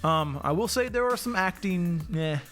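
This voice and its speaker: A man's voice: sits high, lively intonation, moderate pace, fairly bright tone, soft background music. A man chatting informally as a film review co-host, with loose, slangy wording. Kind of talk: casual review chat.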